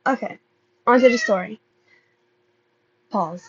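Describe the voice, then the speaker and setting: A woman reading fan fiction aloud as an amateur narrator, halting and often stumbling over and repeating words. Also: a little high, highs cut off, slight background noise. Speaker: amateur podfic reader.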